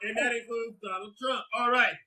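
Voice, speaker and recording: A man hosting a live call-in show, theatrical, with a booming announcer style. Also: steady tone, a little fast, noisy background. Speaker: show host.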